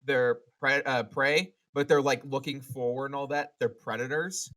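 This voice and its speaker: Man with a bright, crisp-edged voice. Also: medium pitch, steady tone, moderate pace. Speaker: podcast panelist. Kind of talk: casual chat. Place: online group voice call.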